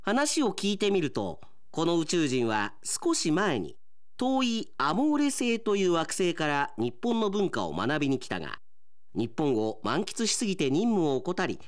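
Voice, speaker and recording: A man, an announcer, narrating a comic radio drama from a script in a dramatic style. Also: on the high side.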